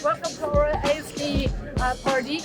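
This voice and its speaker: A woman's voice: sits high, steady tone, normal speed. A woman giving a welcome greeting to camera at a party event. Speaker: party member welcoming visitors. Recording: very loud music behind.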